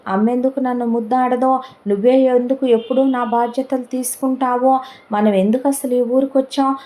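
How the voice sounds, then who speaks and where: A woman's voice: on the high side, fairly even intonation, dark tone, pitched above the performer's own voice, close to an everyday voice. A woman voicing an anguished young man. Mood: agitated pleading. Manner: rapid anguished questioning. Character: young man demanding the truth about his father.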